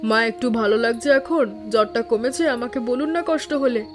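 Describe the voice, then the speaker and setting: A woman plays a devoted village housewife in a cartoon family drama. Her voice is fairly high and she speaks with earnest emphasis, over a music bed. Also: brisk, close to an everyday voice. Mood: anxious, caring. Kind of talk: Bengali animated family story dialogue.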